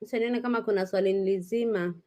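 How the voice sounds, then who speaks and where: A woman's voice: mid-range voice, steady tone, fast, mellow. A Kenyan woman in her thirties hosting a casual live stream, talking straight to her viewers with frequent restarts. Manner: chatty, addresses viewers directly, frequent restarts.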